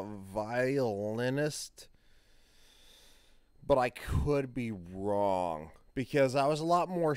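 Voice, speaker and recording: A man's voice: medium pitch, clean tone, no echo. A man in his forties giving casual reaction commentary in a hesitant, rambling way, recorded on a close desk mic at home. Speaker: reaction video host. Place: small room at home, indoors. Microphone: close studio mic on a desk, with headphones.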